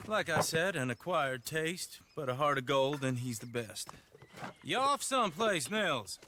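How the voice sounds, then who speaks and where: An actor playing an ingratiating, smooth-talking frontier middleman in a video game cutscene. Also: fairly high pitch, expressive, unhurried, background noise. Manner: salesy and ingratiating.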